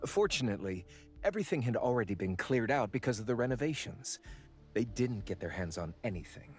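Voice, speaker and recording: A man acts out a worried, practical manager in a story game. His pitch is average for a man, and his delivery is earnest and cautioning. Faint music plays behind him. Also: sing-song, fairly quick, lightly acted. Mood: concerned. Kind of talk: video game voice acting.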